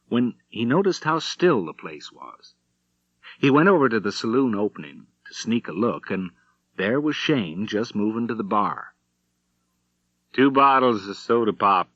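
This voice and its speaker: A man reading a western novel as an audiobook narrator, in measured, dramatic storytelling. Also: on the low side, dry recording, muffled recording. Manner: measured storytelling with acted dialogue.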